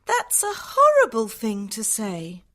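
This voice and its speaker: Woman acting a high-voiced, kindly young woman. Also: wildly swooping pitch, slow, a bit bright, exaggerated voice. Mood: reproachful. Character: kind, cheerful, soothing young woman. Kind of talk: acted audiobook dialogue.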